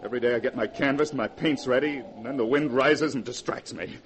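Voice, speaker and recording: An actor playing a troubled, sleepless painter in a 1940s radio drama, speaking tensely and nervously. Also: male voice, mid-range voice, fast talker, close to an everyday voice. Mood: distraught. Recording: noisy old radio broadcast.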